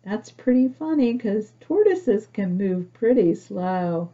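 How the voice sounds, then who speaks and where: Slow-paced woman reading a picture book aloud. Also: mid-range voice, lively intonation, warm tone, dull audio. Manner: simple explanatory talk, often asking the listener questions. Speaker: storybook reader for young children. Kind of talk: read-aloud picture book with questions and asides to children.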